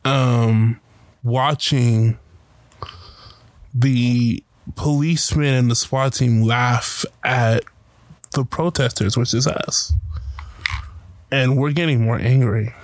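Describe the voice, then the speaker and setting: Halting man recounting a protest. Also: mid-range voice, steady tone, no echo. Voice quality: loud voice. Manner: halting, many fillers and restarts. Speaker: protester telling his story. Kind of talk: casual spoken storytelling.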